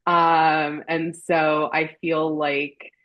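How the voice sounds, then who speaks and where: A woman's voice: deep voice, little pitch movement, very slow. A woman guest chatting informally on a podcast panel, with slightly muffled, lo-fi sound. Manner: informal, conversational. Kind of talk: casual chat. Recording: slightly dull lo-fi microphone sound.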